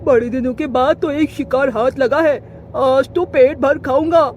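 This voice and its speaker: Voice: high voice, fairly even intonation, a little slow, a little hiss or hum. A performer voicing a boastful, hungry male kite bird who taunts and threatens in a children's cartoon. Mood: gloating, menacing. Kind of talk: Hindi children's cartoon voice acting.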